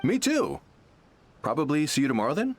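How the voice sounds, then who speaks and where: A man's voice: fairly high pitch, huge pitch swings, a little fast, slight background noise. An actor playing a smug male devotee in a video game, talking in a condescending, knowing way. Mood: scornful. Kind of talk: animated video game voice acting.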